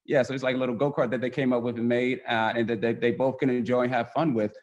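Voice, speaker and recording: A man's voice: mid-range voice, little pitch movement, fast talker, lo-fi sound. Hesitant man, mid-range voice. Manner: hesitant, repeats phrases. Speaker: guest story presenter. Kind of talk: casual explaining of a picture book.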